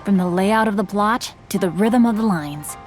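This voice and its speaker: A woman's voice: mid-range voice, moderate pace, faint music behind, close to an everyday voice. A woman playing a polite, bookish teenage boy novelist in a video game, speaking in formal, eloquent phrases. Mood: earnest, courteous. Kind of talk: video game character voice acting.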